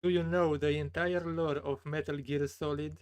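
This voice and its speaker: Woman, very low voice, curt, demanding, quiet, dry sound. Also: steady tone, moderate pace, no echo. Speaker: user prompting an AI. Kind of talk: blunt casual requests.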